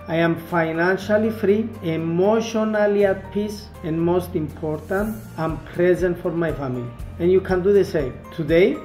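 A man's voice: high voice, dark tone. Cypriot Greek man, roomy office sound. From Cyprus. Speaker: wellness consultant pitching an online course. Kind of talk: scripted promotional monologue to camera. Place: small indoor office, seated at a desk. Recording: roomy office with faint background music.

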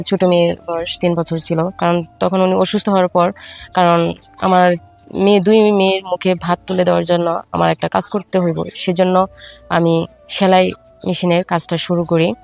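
A middle-aged woman with a Bangladeshi Bengali accent tells a first-person life story, halting and repeating herself with filler phrases. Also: fairly low pitch, flat delivery, gravelly, soft background music. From Faridpur, Bangladesh.

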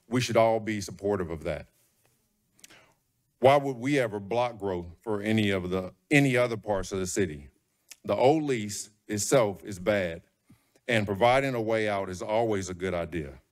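A middle-aged man giving an earnest public comment at a podium microphone in a large meeting hall, with frequent ums. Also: fairly low pitch.

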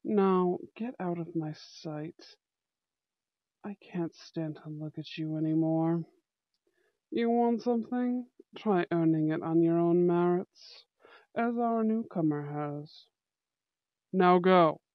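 Woman as a slow-talking, haughty young school prince. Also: lowish voice, no echo, lo-fi sound, pitched below the performer's own voice. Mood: cold, contemptuous. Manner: haughty, formal, commanding. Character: young male bad-boy school prince, protective, aloof. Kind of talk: amateur ASMR audio roleplay acting.

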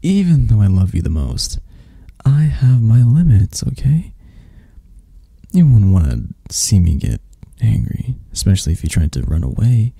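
A man playing a dark-voiced, obsessed lover. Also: on the low side, big pitch swings, dry recording, exaggerated voice. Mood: coaxing menace. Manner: slow, intimate, breathy sighs and pauses. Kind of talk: ASMR romantic roleplay.

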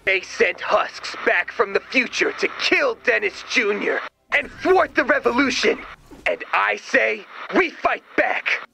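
High-pitched, zealous revolutionary leader. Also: male voice, lively intonation, takes it slowly, gravelly, sharp tone, slight background noise, heavily acted, low-quality recording. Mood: defiant rallying. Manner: grandiose rallying speeches. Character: zealous, theatrical male revolutionary leader and proud father.

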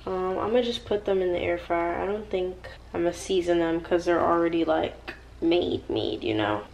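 A woman's voice: fairly low pitch, normal speed, vocal fry, darkish tone, muffled recording, a little hiss or hum. A woman in her twenties chatting casually to a handheld camera in her apartment, upbeat and slangy, laughing often. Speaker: vlogger. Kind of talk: casual vlog chat to camera. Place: apartment at home, indoors. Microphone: handheld camera at arm's length.

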